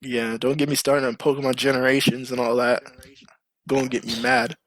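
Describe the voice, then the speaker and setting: A man's voice: mid-range voice, level tone, brisk, fairly bright tone, low-quality recording. A man hosting a podcast in casual, slangy, informal chat. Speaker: podcast host.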